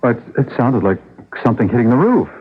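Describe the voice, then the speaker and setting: An actor playing a practical, reassuring, slightly hesitant husband stranded in a radio mystery drama. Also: male voice, medium pitch, sing-song, fast talker, very noisy, natural voice. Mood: rueful, puzzled. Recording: tinny old radio broadcast, music underneath.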